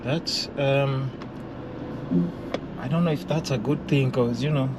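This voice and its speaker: Kenyan male podcast host, playful and teasing, mid-pitched, heavy car noise. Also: steady tone, takes it slowly. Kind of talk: casual interview chat.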